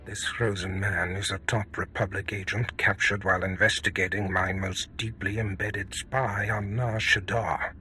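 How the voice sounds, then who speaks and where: Paranoid sith master for a game, deep and tense, super formal and menacing. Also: male voice, level tone, normal speed, few pauses, sharp tone, soft background music. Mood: brooding, ominous. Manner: grave, commanding, formal. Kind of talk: dramatic video game acting.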